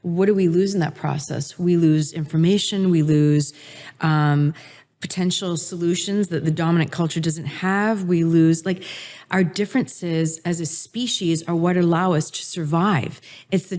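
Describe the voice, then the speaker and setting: A middle-aged woman speaks as an interview guest, laying out her ideas in steady, articulate sequences. Her voice sits a little low, with a warm, mellow tone. She sounds earnest and considered. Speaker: guest author and inclusion speaker. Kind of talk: thoughtful interview answers.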